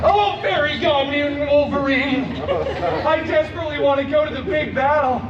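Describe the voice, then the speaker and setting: A man's voice: extremely high pitch, normal speed, lo-fi sound, over a noisy crowd. A male performer playing a flamboyant, cheeky masked antihero, telling a fairy tale to an audience with sarcastic, melodramatic asides. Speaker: theme park character performer. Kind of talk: theatrical live storytelling to an audience. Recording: echoey distant mic, loud music behind.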